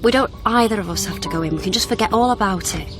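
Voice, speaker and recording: A woman's voice: medium pitch, fast talker, music in the background, natural voice. An actress playing a determined, reassuring younger woman in a naturalistic radio drama, with a conversational, coaxing manner. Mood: gentle, supportive. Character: determined, reassuring younger woman investigating her fiancé's past.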